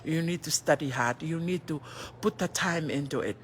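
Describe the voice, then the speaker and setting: A woman's voice: low voice, moderate pace, slight background noise. A middle-aged Tongan woman whose first language is Tongan, giving an earnest motivational talk on a phone livestream and often asking herself rhetorical questions. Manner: earnest, asks rhetorical questions and answers them; switches between languages mid-sentence. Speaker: livestream host.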